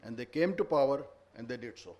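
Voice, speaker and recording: A man's voice: medium pitch, expressive, a little fast, dark tone. A man giving a formal address at a conference, earnest and lecturing, stressing each point. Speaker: conference speaker. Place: conference hall.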